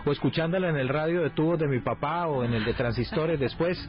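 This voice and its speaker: A man's voice: a little high, brisk. A man with a Colombian accent, a radio journalist reminiscing with humour on air. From Cali, Colombia. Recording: slightly dull radio broadcast with music behind.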